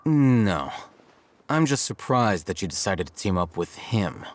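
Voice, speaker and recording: A man voicing an aloof, coolly logical young scholar in a video game, measured, condescending and matter-of-fact. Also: on the low side, very animated, slight background noise. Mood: detached. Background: guitar music.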